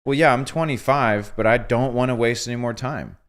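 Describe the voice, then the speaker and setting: A man in his twenties hosting a casual livestream chat, telling stories with earnest, animated quoted asides. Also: mid-range voice, fairly quick, clean tone. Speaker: host.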